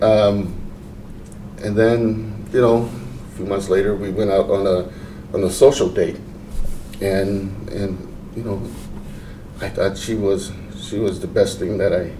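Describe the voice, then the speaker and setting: A middle-aged man from Portland telling his life story in a casual interview, unhurried and halting, with many fillers and restarts. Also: lowish voice, steady tone, warm tone, a little hiss or hum. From Portland, Oregon. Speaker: interview guest, veteran. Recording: roomy, mic at a distance.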